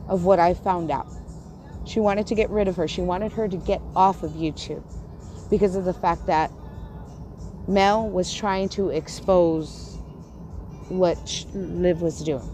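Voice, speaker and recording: A woman's voice: on the low side, slow pace, long pauses, dark tone, soft background music. Angry woman ranting on a livestream. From Texas. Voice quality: stutter and lisp. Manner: angry, swearing, repeats and restarts, confrontational. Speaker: YouTuber on a livestream. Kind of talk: heated livestream rant to chat.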